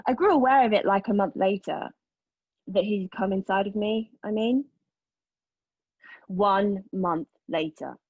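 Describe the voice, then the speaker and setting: An actress playing a haunted young woman in a dramatic stage monologue, recounting a painful memory in a halting, intense way. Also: mid-range voice, slightly rough, no room sound, dull audio. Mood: bitter, halting. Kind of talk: dramatic monologue read at a table read of a play.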